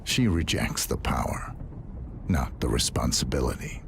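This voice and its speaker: An actor playing a cold, middle-aged assassin who speaks in terse, flat, clipped lines. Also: male voice, rumbling low, a little slow, gravelly, noisy background, heavily acted.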